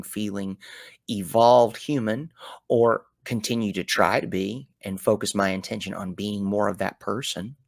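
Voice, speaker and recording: Southern man with a dry, low voice. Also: no room sound. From American South. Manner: animated, with sudden loud bursts and folksy phrasing. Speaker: podcast host. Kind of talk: reflective solo talk.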